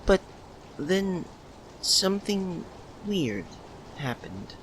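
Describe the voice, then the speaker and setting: A man playing a shy, lovesick young university student, speaking hesitantly in an ASMR roleplay with stammers and pauses. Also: high voice, full character voice, low-quality recording. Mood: confessional, wistful. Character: shy, lovesick young man, nervous childhood best friend. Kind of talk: ASMR roleplay, soft emotional monologue to the listener. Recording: noticeable steady background ambience noise.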